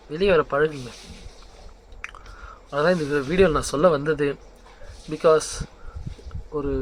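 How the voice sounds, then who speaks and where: A man's voice: a little high, slight background noise, rough recording. A young man giving informal motivational advice as a vlog host, conversational and mixing in English phrases, often checking in with the listener. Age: young adult. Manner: conversational, checks in with rhetorical questions.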